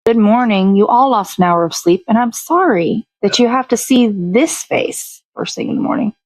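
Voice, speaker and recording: A woman's voice: a little high, animated, moderate pace, no room sound. A woman hosting a morning show in casual chat, talking in a blunt, sweary, rambling way.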